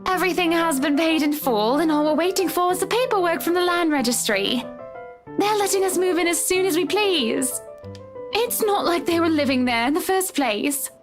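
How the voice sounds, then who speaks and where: An actress playing a chatty, teasing wealthy wife in a visual novel, with an assured, brisk manner. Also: female voice, extremely high pitch, animated, over music.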